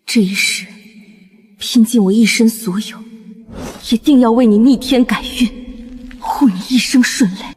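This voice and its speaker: A woman playing a shrewd, devoted general's wife, formal and measured, in a Chinese costume drama. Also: a little high, darkish tone, breathy edge. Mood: devoted resolve.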